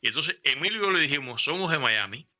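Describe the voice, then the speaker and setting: Older Cuban man, Cuban accent, bright ringing voice, slightly high, rambling raconteur; soft music underneath. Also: animated, quick pace. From Cuba. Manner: rambling anecdotes with many restarts. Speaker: guest. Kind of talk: conversational storytelling.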